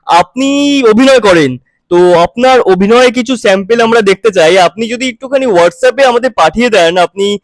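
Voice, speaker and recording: A man's voice: high voice, normal speed, no echo, lightly acted. A man playing a pushy, muddled recruiter on a prank call, insistent, evasive and repeating himself. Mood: brazen, insistent. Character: pushy, muddled caller offering paid acting work. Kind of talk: prank phone call improvisation.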